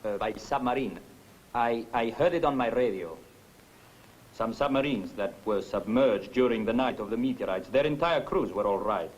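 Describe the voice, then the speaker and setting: An actor playing a hospitable, anxious Spanish husband in a 1960s film, with a Spanish accent and courteous manner. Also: male voice, mid-range voice, normal speed, natural voice, low-quality recording. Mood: earnest. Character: newly blind Spanish husband, hospitable, anxious expectant father. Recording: 1960s film soundtrack, faint music.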